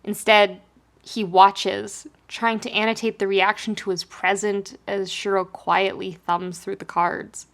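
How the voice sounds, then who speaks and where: A woman narrates scripted fiction in a medium-pitched voice. Her delivery is expressive storytelling, suited to an audiobook or podfic. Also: measured pace.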